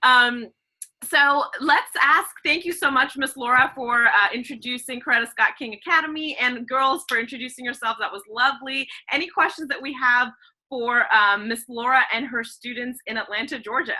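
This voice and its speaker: A woman hosting a video call between classrooms, speaking in a warm, encouraging, teacherly way. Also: fairly high pitch, moderate pace. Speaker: host of a virtual class meeting.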